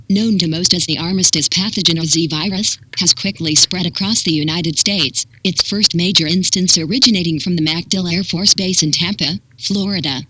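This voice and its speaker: A synthetic text-to-speech voice reading a scripted public warning in an impersonal, measured manner with clipped instructions. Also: medium pitch, sharp tone, low-quality recording. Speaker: emergency broadcast announcer.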